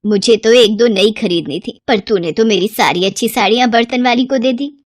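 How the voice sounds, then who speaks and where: A woman's voice: fairly high pitch, normal speed, no echo, close to an everyday voice. Woman doing an exasperated mother-in-law, nagging, scolding, dry close sound, cartoon story. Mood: dismayed, scolding. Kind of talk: Hindi moral story cartoon acting.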